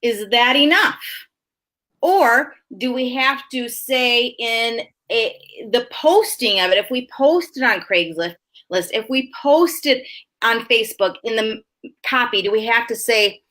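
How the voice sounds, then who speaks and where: Bright, high-voiced chatty woman co-host. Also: measured pace. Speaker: co-host, realtor. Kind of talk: casual conversational commentary.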